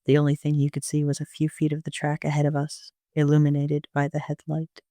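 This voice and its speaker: A man's voice: fairly high pitch, completely flat delivery, brisk, warm tone, no room sound, clean studio sound. A man narrating a first-person audio drama as an amateur reader, in an understated storytelling manner.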